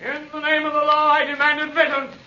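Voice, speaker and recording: Stern, echoey old-radio lawman, high-pitched. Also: monotone, brisk, highs cut off, over loud music. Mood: commanding. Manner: commanding, formal, declaiming. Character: stern male officer of the law making an arrest. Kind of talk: 1930s radio acting. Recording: echoey, band-limited 1930s radio recording.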